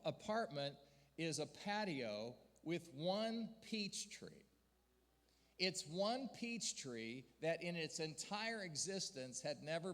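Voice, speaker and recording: Male church leader, fairly high voice, earnest storytelling sermon delivery, long hall reverb. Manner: earnest storyteller, rising to emphatic peaks. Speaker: denominational church leader speaking to a district gathering. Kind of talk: sermon-style report with slides.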